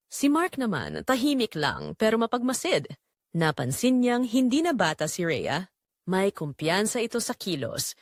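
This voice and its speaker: Female storyteller, scripted dramatic narration, animated intonation, medium pitch, dry recording. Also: dry recording.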